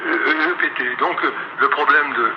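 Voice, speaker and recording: A man giving unscripted political analysis as a guest commentator, speaking in a hesitant, halting way. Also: medium pitch. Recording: noisy muffled shortwave radio reception, echoey.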